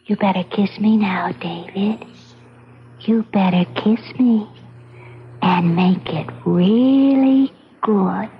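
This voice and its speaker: An actress playing a sly, troubled orphan girl psychic in a 1970s radio drama, with a slow, theatrical delivery. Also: mid-range voice, slight background noise. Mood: sly, taunting. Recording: dull old radio broadcast, loud music.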